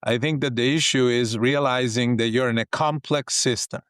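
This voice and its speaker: Italian-accented man, smooth and dry. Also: mid-range voice, steady tone, a bit bright, dry recording. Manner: thoughtful, pausing, non-native grammar. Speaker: executive coach and professor. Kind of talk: conversational interview answers. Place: home office, video call.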